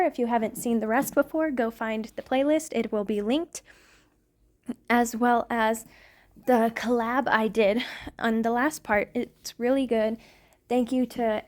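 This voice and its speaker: A woman with a fairly high voice speaks as an ASMR creator. She gives informal updates straight to her listeners in a casual, conversational style. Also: darkish tone. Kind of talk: casual channel announcement.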